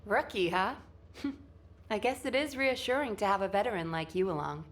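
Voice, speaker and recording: An actress playing a capable, stern, dryly wry woman soldier with a brisk manner in a video game cutscene. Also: mid-range voice, close to an everyday voice. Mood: wry, composed.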